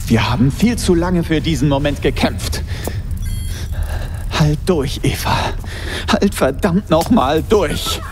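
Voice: medium pitch, expressive, takes it slowly, pauses often, big-room sound, faint music behind. An actor playing a desperate, stubborn scientist who speaks urgently. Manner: urgent, insistent. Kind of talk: audio drama acting.

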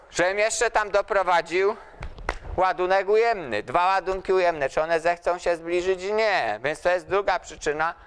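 An older man lecturing at a chalkboard in a large hall, pausing often to ask students questions as he writes. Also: high voice, fairly bright tone, slight background noise. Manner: slow, asks students questions while writing on the board. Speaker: university lecturer.

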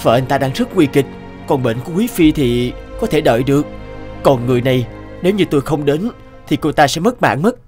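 A male actor as a humble, principled healer from an animated folk tale, courteous and earnest. He pleads politely but holds his ground, with clear music playing behind him. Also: fairly high pitch, fairly quick, natural voice. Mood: polite, firm. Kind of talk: animated moral tale dubbing.

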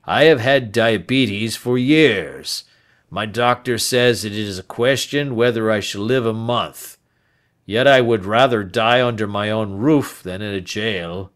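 Man reading a weary, dying old man, remorseful and pleading; slow and weighty, low-pitched. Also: fairly bright tone. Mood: grave. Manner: earnest, pleading, slow and heavy. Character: aging male rancher, dying and remorseful. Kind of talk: audiobook character voice.

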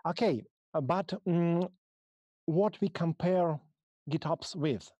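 A man from Russia with a Russian accent, speaking English as a second language and giving a halting technical conference talk over a video call, full of ums and restarts. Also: on the high side, dark tone, no room sound, lo-fi sound. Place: small room, video call.

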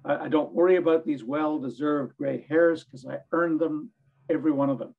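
An older man with a hoarse voice, speaking earnestly as a panelist on a webinar from his home study over a video call. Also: fairly high pitch, steady tone, moderate pace, phone-quality sound. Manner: earnest, rambling with restarts. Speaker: executive director, panelist.

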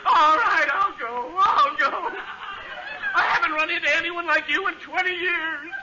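A flustered whiny store clerk losing it, 1940s radio comedy, muffled and noisy. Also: male voice, very high voice, measured pace. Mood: hysterical. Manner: flustered, whining, building to hysterical outbursts. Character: exasperated, frantic male department store clerk.

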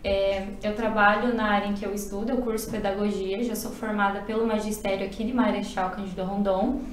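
A woman's voice: medium pitch, level tone, brisk. A twenty-year-old Brazilian woman introducing herself in a matter-of-fact way. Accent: Brazilian Portuguese. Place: office studio. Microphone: desk mic on stand. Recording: echoey room, faint hum.

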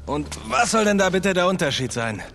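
An actor playing a cocky, quick-witted younger male adventurer, casual and teasing, in a dubbed action video game. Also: high-pitched, sing-song, creaky, a bit bright, slight background noise, full character voice. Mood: teasing, defensive. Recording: long cave-like reverb, faint music.

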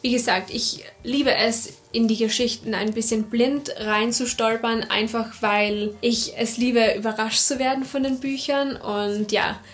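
Young female book vlogger, non-native English speaker, brightish pitch, eager, repetitive emphasis, recorded on a desk camera in a bookshelf room. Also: steady tone, measured pace, few pauses. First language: not English. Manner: enthusiastic, repeats words for emphasis. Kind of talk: casual book talk to camera. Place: room with full bookshelves, indoors.

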